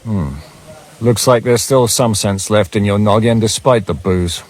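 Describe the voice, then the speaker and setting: An actor voicing a gruff, sardonic leader of an armed band in a video game, giving orders. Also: male voice, fairly low pitch, measured pace, a little mellow. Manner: sardonic, commanding. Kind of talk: video game character acting.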